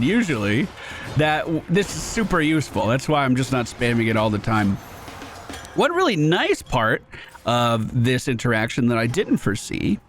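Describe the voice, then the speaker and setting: High-pitched, animated male gaming streamer. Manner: chatty, informal, reads game text aloud. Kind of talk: casual gameplay commentary.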